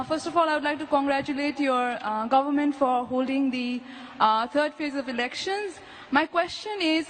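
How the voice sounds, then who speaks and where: A woman from Nepal, a journalism student, who asks a formal question carefully, with hesitant fillers. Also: high voice. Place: large university lecture hall. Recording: reverberant hall with faint room noise.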